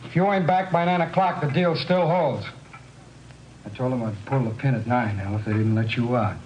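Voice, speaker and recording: An actor playing a desperate, bullying American criminal in 1950s radio crime drama, barking orders in rough slang. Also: male voice, medium pitch, moderate pace, darkish tone, highs cut off, lightly acted. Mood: triumphant, commanding. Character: desperate, bullying criminal with a bomb, devoted to his brother. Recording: noisy, clicking old radio recording.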